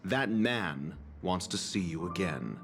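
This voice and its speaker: A man's voice: deep voice, expressive, a bit bright, heavily acted. A man voicing a haughty, sardonic scholarly doctor in a video game, speaking in a pompous, formal manner. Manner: pompous, formal, deliberate phrasing. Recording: background music under dialogue. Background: music.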